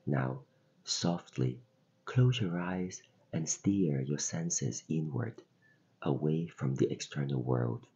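A man with a very deep, husky voice guides a meditation at an unhurried pace. His phrasing is gentle and soothing, with pauses between instructions. The recording sounds somewhat dull. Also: lively intonation. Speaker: meditation guide and podcast host.

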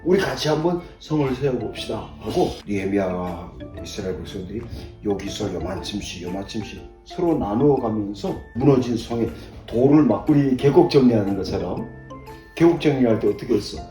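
A man's voice: fairly low pitch, fairly quick, dark tone. An older man, a pastor grandfather, telling Bible stories to grandchildren in a conversational, simply explained way. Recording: roomy echo, distant mic, faint music.

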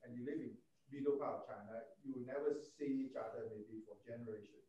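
A man's voice: mid-range voice, fairly quick, dark tone, lo-fi sound. A man joining in during an online class discussion, adding to the point. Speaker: class participant. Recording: very echoey, distant, light hiss.

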